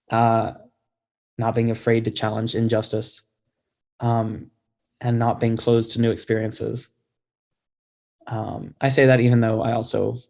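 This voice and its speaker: A man's voice: mid-range voice, vocal fry, darkish tone. Informal, thoughtful man in conversation. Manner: informal, self-deprecating, thoughtful. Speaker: guest author. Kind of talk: casual interview conversation.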